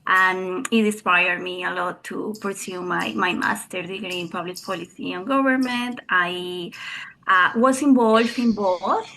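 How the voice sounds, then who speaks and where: A woman with a Colombian Spanish accent speaking on a panel over a video call, earnest and often pausing to hesitate. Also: mid-range voice, sharp tone, rough recording. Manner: earnest, with frequent hesitations and tag questions. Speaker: researcher and consultant on a panel.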